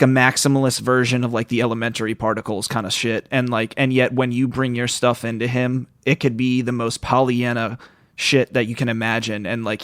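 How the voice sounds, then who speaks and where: A man's voice: medium pitch, fairly bright tone, dry recording, clean studio sound. A man hosting a podcast in casual interview chat, talking in a rambling, informal, slangy way. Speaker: podcast host.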